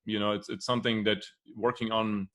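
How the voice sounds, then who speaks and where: A man's voice: on the low side, level tone, a little fast, sharp tone. A man hosting a video podcast interview, speaking hesitantly with many fillers and repeated words. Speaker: podcast host.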